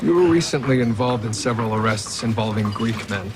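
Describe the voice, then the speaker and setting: An actor playing a methodical male police detective who questions people in a measured, probing way. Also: fairly low pitch, expressive, background noise, lightly acted. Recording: TV drama with music behind.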